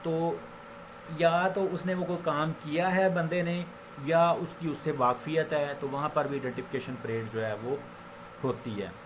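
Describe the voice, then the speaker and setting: A man with a Pakistani accent and a slightly high voice teaches in an informal, explanatory lecture style. He mixes in English legal terms and slows down haltingly when reading them out. There is some background noise on the recording. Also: steady tone. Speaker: law lecturer and advocate.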